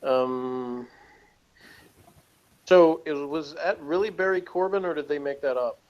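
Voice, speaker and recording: A man's voice: a little high, a little slow, muffled recording. A man chatting casually as he reviews a TV episode, giving opinions with wry humour.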